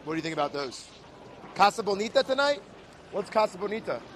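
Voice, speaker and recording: A man's voice: high-pitched, big pitch swings, fast, a bit bright. Hyped man hosting outdoors, noisy street. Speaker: host. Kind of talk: casual livestream chat.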